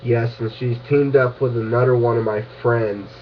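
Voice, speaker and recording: A man's voice: medium pitch, fairly even intonation, moderate pace. Man on muffled, scratchy two-way radio. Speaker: amateur radio operator. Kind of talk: casual radio chat.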